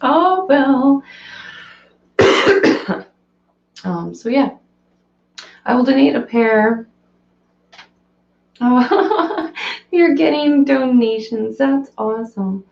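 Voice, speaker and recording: Woman, hoarse live stream host, chatty and off the cuff, echoey dull home recording. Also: high-pitched, expressive, drawn-out words, long pauses, darkish tone. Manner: chatty, rambling, reads and answers viewer comments. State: hoarse, voice cracking. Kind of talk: casual live stream chat.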